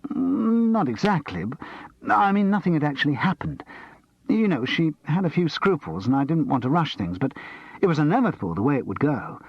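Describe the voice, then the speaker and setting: A man voicing a vain, theatrical young male actor who talks with arrogant self-importance, husky and a bit muffled. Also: fairly high pitch, very animated, a little fast, dry recording, voice pushed up, heavily acted. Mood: self-assured.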